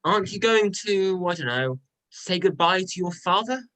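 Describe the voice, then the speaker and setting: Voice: mid-range voice, animated, moderate pace, fairly bright tone, close to an everyday voice, rough recording. An amateur actor playing a mocking male trickster god with dry sarcasm in a myth play reading. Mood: wry.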